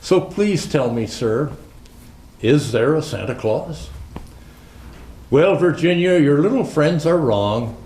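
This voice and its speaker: A man's voice: fairly high pitch, animated, darkish tone. A man playing Santa Claus as a jolly old storyteller, reading Christmas classics to children in a theatrical, lilting manner. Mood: earnest. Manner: slow, theatrical, lilting recitation. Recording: roomy, echoing indoor sound.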